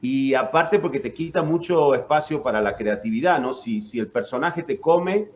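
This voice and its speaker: A man's voice: mid-range voice, moderate pace, darkish tone, dull audio. Argentine man with a northern-Córdoba accent. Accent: Argentine, northern mixed with Córdoba. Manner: explanatory, with frequent fillers and "¿no?" tags. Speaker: voice actor and announcer. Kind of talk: radio interview guest.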